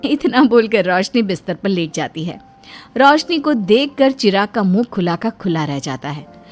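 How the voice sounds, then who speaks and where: A woman's voice: mid-range voice, big pitch swings, normal speed, faint music behind. A woman reading scripted narration for a cartoon moral story.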